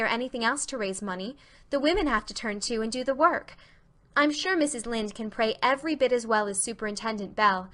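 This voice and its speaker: A woman's voice: a little high, fairly bright tone. A woman voicing an earnest, talkative, imaginative teenage girl with effusive, dramatic delivery in a reading of a classic novel.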